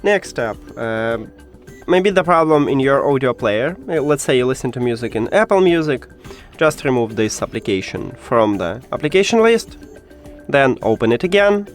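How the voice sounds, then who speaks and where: A man's voice: medium pitch, sing-song, normal speed, faint music behind. A man who speaks English as a second language, presenting a step-by-step tech tutorial and addressing the viewer directly in an instructional way. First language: not English. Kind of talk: step-by-step how-to narration.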